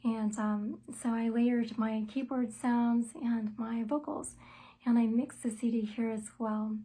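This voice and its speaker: A middle-aged woman musician with a light voice talks about her music in a thoughtful, measured way, pausing often on "um" and "uh". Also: on the high side, fairly even intonation, mellow. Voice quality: light, soprano-range voice. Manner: thoughtful and measured, with frequent "um" and "uh" pauses. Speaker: musician and songwriter interviewed about her album. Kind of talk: self-told interview answers, conversational.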